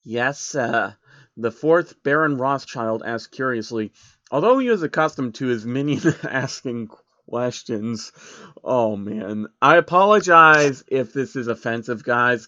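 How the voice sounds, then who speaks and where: A man's voice: mid-range voice, sharp tone, no room sound. Man, amateur story narrator, informal, wry, dry close sound, slightly lo-fi. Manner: informal, wry, amused asides. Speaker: narrator reading fan fiction aloud. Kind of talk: casual commentary and amateur storytelling.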